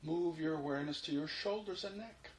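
A man leading a guided meditation, giving unhurried, repeated instructions with long pauses between them. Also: a little high. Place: informal indoor room. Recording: roomy echo, faint background noise.

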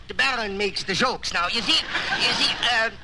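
A man's voice: high voice, moderate pace. A man playing a boastful comic baron who bumbles and repeats himself through tall tales in 1930s radio comedy. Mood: flustered. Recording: very noisy 1930s radio recording.

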